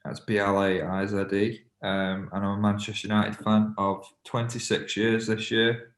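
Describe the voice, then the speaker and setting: A man in his thirties, a football fan, answering documentary interview questions in a measured, plain-spoken way. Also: lowish voice, little pitch movement, roomy sound.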